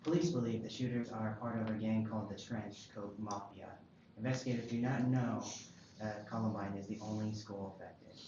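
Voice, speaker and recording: A man's voice: lowish voice, fairly even intonation, a little fast, close to an everyday voice. A man playing a television news reporter giving an urgent breaking-news report at a table read. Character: television news reporter covering a school emergency. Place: roomy indoor table read. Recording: echoey room, dull sound, clear noise.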